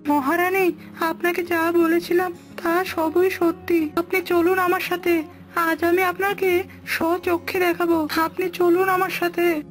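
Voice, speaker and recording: A deferential cartoon spy, voiced by a woman, reports urgently in an extremely high, even voice. Faint music plays behind. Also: a little slow. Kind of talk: cartoon character acting.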